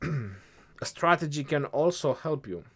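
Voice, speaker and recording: A young man with an Eastern European accent, answering chat questions on a game live stream in a relaxed way, thinking aloud as he goes. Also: a little high, slow pace.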